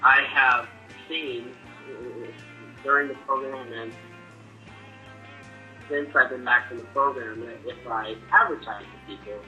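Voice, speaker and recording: Stuttering young man, very bright voice. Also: medium pitch, fairly even intonation, unhurried, long pauses, faint music behind, rough recording. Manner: stutters, with repeated sounds and restarts. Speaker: person sharing his experience. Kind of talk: personal testimony to camera.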